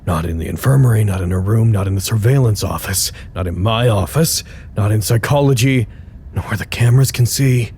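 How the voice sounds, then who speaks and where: A man's voice: fairly low pitch, a little fast, darkish tone, close to an everyday voice. A man playing a frantic, rambling facility worker in an audio drama, searching and talking theatrically to himself. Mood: desperate, worried. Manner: theatrical, rambling, talks to imagined listeners. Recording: echoey room with faint noise.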